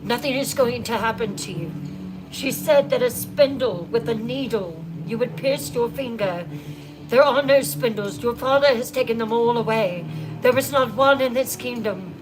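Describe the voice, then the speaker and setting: A woman's voice: on the high side, normal speed, close to an everyday voice, rough recording. Storybook queen mother, guilty and protective, pleads urgently, read by a woman; music underneath. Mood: reassuring. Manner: earnest, urgent reassurance.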